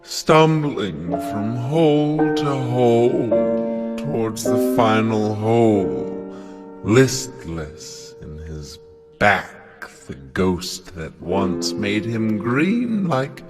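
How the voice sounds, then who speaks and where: A male actor as a world-weary, disgusted thinker in an audio drama monologue. He is very slow and halting, breaking his declamation into fragments. Music plays clearly beneath his low voice. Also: sing-song, heavily acted. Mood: loud, declamatory. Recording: music under the voice.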